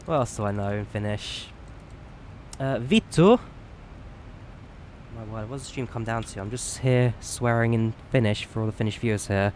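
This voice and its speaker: A man's voice: fairly low pitch, normal speed, long pauses, darkish tone, a little hiss or hum. A man giving casual live stream commentary while flying a simulator, chatty and self-deprecating.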